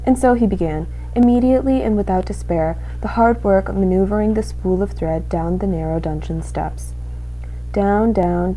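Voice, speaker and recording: A young woman reading a children's storybook aloud as an expressive narrator. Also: mid-range voice, slight background noise, low-quality recording. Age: young adult. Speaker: student reader.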